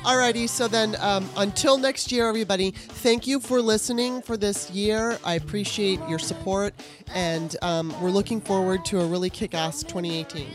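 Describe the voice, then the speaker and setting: A woman's voice: mid-range voice, normal speed, over music. A woman hosting a podcast in an easygoing, chatty way, laughing often. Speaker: podcast host. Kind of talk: casual chat.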